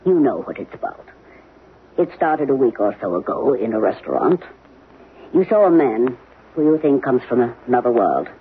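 An actor playing a calm, frank psychiatrist in a 1970s radio drama, talking in a measured, probing way. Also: male voice, medium pitch, natural voice. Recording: lo-fi 1970s radio broadcast, noisy.

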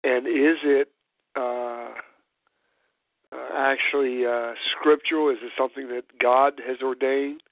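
A hoarse, congested man hosting a church call-in roundtable, speaking haltingly with many fillers. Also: mid-range voice, little pitch movement. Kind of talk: hesitant spoken discussion. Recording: dry tinny phone line.

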